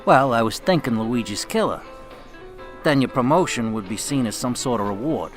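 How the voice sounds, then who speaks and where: An actor playing a cool, commanding mafia boss with dry, understated menace in a radio drama. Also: male voice, mid-range voice, animated, normal speed, faint music behind, lightly acted. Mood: cool, businesslike.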